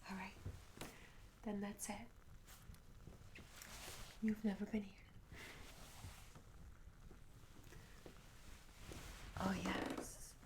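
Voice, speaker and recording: Slow, whispering tavern maid, acted by a woman. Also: medium pitch, little pitch movement, natural voice. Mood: hushed, curious. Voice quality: soft, breathy whisper. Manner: gentle, hesitant, courteous, old-fashioned phrasing. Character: curious, polite, humble medieval tavern maid. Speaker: ASMR roleplay performer. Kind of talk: ASMR roleplay, soft-spoken whispering. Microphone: close ASMR microphone. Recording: close whisper over audible ambience noise. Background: candlelit tavern ambience.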